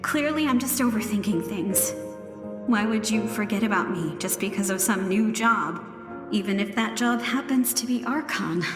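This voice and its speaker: A woman's voice: medium pitch, expressive, normal speed, lightly acted. A woman voicing a friendly, sporty young woman in an anime-style video game, playful and earnest. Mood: playful, wistful. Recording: long echoing reverb, music underneath.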